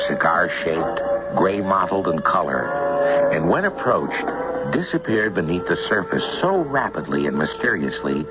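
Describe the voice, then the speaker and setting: A man narrating a radio drama in a portentous, deliberate, scripted style. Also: low-pitched, full character voice. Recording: muffled radio recording, loud music. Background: loud music.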